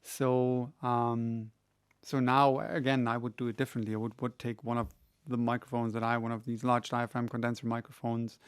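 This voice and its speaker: A middle-aged man casually telling a story, rambling with many restarts and getting animated when he retells a conversation. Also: medium pitch, clean tone. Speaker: audiobook reader answering questions. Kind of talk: casual monologue.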